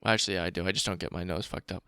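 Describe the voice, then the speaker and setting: A man hosting a casual commentary show, reading documents aloud and remarking on them in a blunt, informal, profane way, with a slight rasp. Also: low voice, fairly even intonation, racing, sharp tone, dry recording, studio quality. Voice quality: raspy. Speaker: show host.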